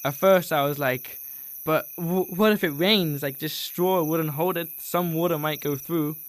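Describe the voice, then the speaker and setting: A man's voice: on the high side, steady tone, a little slow. A man recounting his journey in casual, earnest first-person storytelling, repeating words for emphasis.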